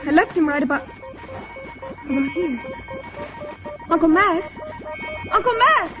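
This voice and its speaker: A woman's voice: very high-pitched, big pitch swings, slow pace, heavily acted. An actress playing an anxious, pleading woman in a 1950s radio drama, with an insistent delivery. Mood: worried, then desperate. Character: anxious, pleading woman desperate for her uncle. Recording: muffled old radio broadcast, music underneath.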